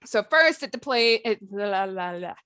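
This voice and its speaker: A woman's voice: mid-range voice, lively intonation, measured pace, bright, forceful tone, clear, resonant tone, no room sound. Casual woman podcaster, close dry sound. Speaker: podcast host. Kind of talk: casual discussion of writing craft.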